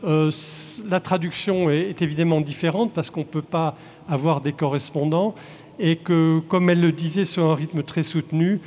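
A man from Belgium, a translator, answering audience questions in a halting way with frequent restarts. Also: fairly high pitch, fairly even intonation, darkish tone. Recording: long hall reverb.

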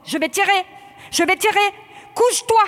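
A woman voicing an aggressive male police officer, shouting repeated commands in a live stage performance. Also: very high voice, normal speed. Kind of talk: stage spoken-word performance.

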